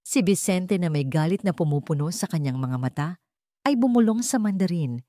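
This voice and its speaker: Low-pitched Filipino woman narrating a dramatic story. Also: expressive, normal speed, mellow, no echo, studio quality.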